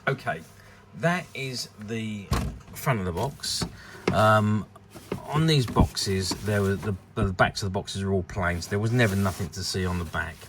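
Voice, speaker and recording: A man giving an unscripted showcase commentary on a collectable, with a hesitant, halting delivery. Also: fairly low pitch, noisy background. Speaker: vintage toy collector presenting an item.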